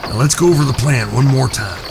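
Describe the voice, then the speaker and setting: An actor in an audio drama playing the cautious, bossy older brother who leads Old West outlaws, with a gravelly voice. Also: male voice, mid-range voice, a little fast, background noise, lightly acted. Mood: firm, weary. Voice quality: creaky, gravelly.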